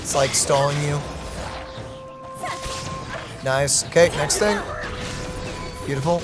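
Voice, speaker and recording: A man casually reacting to a game, chatty and excitable, laughing often. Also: on the high side, slow. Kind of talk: casual gameplay reaction chat. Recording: voice over loud game music.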